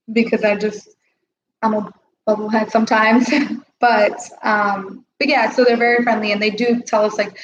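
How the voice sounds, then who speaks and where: A woman's voice: mid-range voice, echoey room, highs cut off, noisy background. A young woman guest on a live stream, chatting casually about her studies and saying "like" a lot. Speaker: language student guest.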